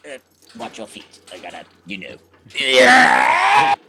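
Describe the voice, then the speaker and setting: A man's voice: medium pitch, huge pitch swings, slow pace, raised voice, very noisy, heavily acted. An actor playing the wisecracking ghost of an old miser in a comic radio musical, joking, punning and singing his warnings. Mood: fussy. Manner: jokey and pun-loving, breaks into song.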